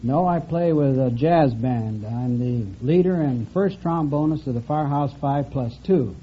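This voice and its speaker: A man's voice: mid-range voice, a little slow. An American man answering a quiz host as a contestant, casual, understated and a little hesitant. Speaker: quiz show contestant, amateur trombonist. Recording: muffled old radio broadcast, audience noise.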